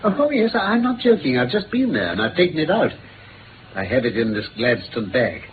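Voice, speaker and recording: Through a narrow, noisy vintage radio recording comes a man acting a brisk British detective. His delivery swings widely in pitch, precise and theatrical, full of shrewd self-assurance, as in a 1950s radio play. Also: big pitch swings, natural voice. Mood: eager, wry. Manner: precise, theatrical, deductive pronouncing.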